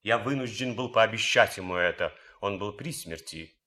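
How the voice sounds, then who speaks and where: An actor playing an idealistic German officer and composer in a radio drama, speaking earnestly, deliberately and theatrically. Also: male voice, on the low side, bright, forceful tone. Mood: earnest, reflective.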